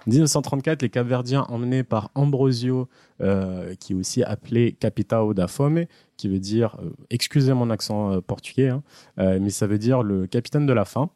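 A man's voice: mid-range voice, normal speed, warm tone, no echo, clean studio sound. A man hosting a casual podcast, telling a history story with joking asides and frequent hesitations. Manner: joking, trails off with many hesitations. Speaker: podcast host presenting a history segment.

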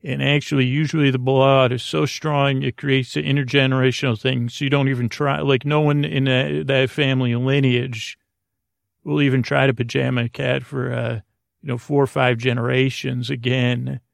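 A man hosting a bedtime podcast in a creaky voice, meandering and halting, often stammering and repeating himself in a soothing, friendly way. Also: medium pitch, little pitch movement, no room sound, studio quality. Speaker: podcast host. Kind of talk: rambling bedtime monologue.

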